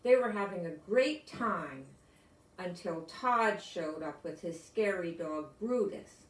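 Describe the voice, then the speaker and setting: An older woman with an American accent reading a children's story aloud, deliberately and explaining things as if to young listeners. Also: fairly low pitch, animated. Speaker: storybook reader. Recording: roomy echo, distant from microphone.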